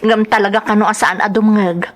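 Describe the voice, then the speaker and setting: A woman's voice: medium pitch, fairly even intonation, brisk, lightly acted. A woman with a Filipino accent playing a troubled mother working abroad in an online audio drama. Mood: worried.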